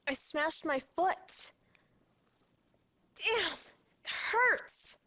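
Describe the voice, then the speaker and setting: A woman's voice: extremely high pitch, lively intonation, extremely slow, sharp tone, heavily acted. An actress playing a distressed woman pleading for help in a video game disaster cutscene. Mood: pained, worried. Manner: pleading, pained. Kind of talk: full-motion-video game acting.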